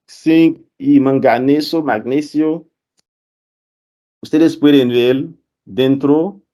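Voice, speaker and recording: A man speaking Spanish as a second language, giving a student conference talk in a halting way, correcting himself often. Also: on the high side, darkish tone. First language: not Spanish. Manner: halting, self-correcting, with fillers.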